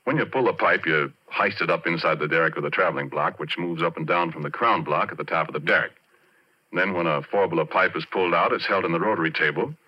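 A very low-voiced easygoing oil driller in an old 1940s radio play. He talks in plain, folksy oilfield slang, like a working man spinning an eerie tale. The sound is dull and muffled, an aged broadcast recording. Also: fast. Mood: confiding narration. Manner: folksy, colloquial. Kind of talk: 1940s radio drama acting.

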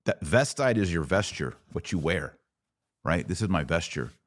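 A man's voice: low voice, sing-song, normal speed, dry recording, clean studio sound. An American man hosting a podcast, delivering opinion commentary in an emphatic, preachy manner full of rhetorical questions. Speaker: podcast host.

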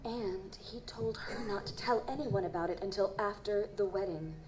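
A gentle, earnest psychic, played by a woman, speaks with a soft-edged, mellow tone. Her delivery is hesitant and solemn, as in audio drama, with some background noise around her. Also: mid-range voice, normal speed, natural voice, rough recording. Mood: somber, thoughtful. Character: earnest, gentle female psychic who reads ghostly impressions. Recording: live performance with background noise.

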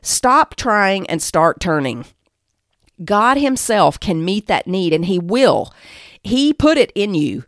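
A woman's voice: medium pitch, very animated, takes it slowly, no room sound, clean studio sound. A woman giving a devotional teaching talk, speaking emphatically and exhorting, repeating phrases for weight. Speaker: Bible teacher and podcast host.

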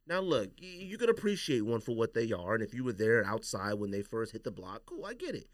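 A man hosts a casual, slangy chat show with strong opinions. His mid-pitched voice moves up and down a lot, recorded dry with no room sound. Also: sing-song, brisk, no room sound. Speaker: podcast host. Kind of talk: casual sneaker podcast chat.

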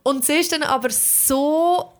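A woman's voice: high voice, a little slow. A woman with a Swiss German accent chatting casually and enthusiastically as a podcast host. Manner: informal, enthusiastic. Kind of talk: casual chat.

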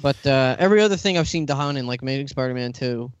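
A man co-hosting a casual podcast, joking around with a shrill voice. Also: expressive, fast talker, smooth, no room sound, dull audio. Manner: joking, does mock deep voices. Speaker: podcast co-host.

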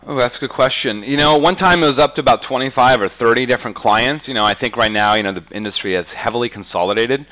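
A man's voice: medium pitch, fast talker, few pauses, bright, forceful tone, rough recording. A man giving casual business interview answers as a real estate agent, conversational and peppering his talk with "you know".

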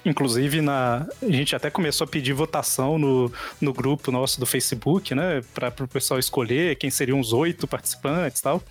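A man with a Brazilian Portuguese accent hosting a podcast, chatty and quick to laugh. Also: medium pitch, husky, faint music behind. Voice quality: chatty and laughing, lots of fillers. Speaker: podcast host. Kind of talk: casual podcast chat.

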